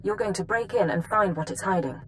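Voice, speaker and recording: An actress playing a stern, formal intelligence handler issuing mission orders in a video game. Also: female voice, mid-range voice, animated, a little fast, slight background noise, natural voice. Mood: stern, serious. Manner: formal, authoritative.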